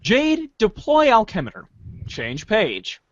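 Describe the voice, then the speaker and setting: A man's voice: sits high, wildly swooping pitch, slow pace, no echo, dull audio. A man hosting and narrating a fan readers' theater of a webcomic. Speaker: host and narrator.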